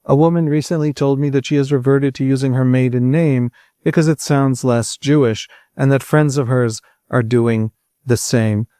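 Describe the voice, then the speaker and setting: A man's voice: mid-range voice, steady tone, moderate pace, mellow, dry recording, studio quality. A man hosting an interview, asking earnest, searching questions and often restarting his sentences. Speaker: podcast host.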